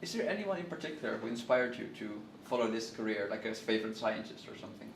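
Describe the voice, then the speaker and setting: A man asking short interview questions in a brisk way. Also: fairly low pitch, expressive. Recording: roomy, distant mic, faint noise.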